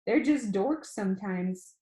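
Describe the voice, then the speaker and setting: A woman voicing a grieving, withdrawn schoolgirl in a storybook reading, subdued and too calm. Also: medium pitch, slow. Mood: flat, detached. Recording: roomy, echoing room sound.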